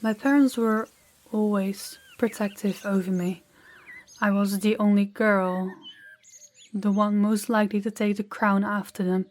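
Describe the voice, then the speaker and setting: A woman performs a young princess who is proud and guarded yet softening. She speaks at a middle pitch in careful, formal phrases with a touch of awkwardness, as if alone with the listener. It is an intimate audio roleplay with light background noise and chirping birds. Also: fairly even intonation, lightly acted. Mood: sympathetic, candid. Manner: measured, formal, slightly awkward small talk.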